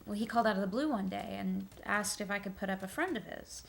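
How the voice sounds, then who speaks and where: A woman's voice: mid-range voice, fast, gravelly, a little hiss or hum, close to an everyday voice. A woman playing an easygoing young city woman, talking casually and a little hesitantly, in a matter-of-fact way. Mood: wry, casual.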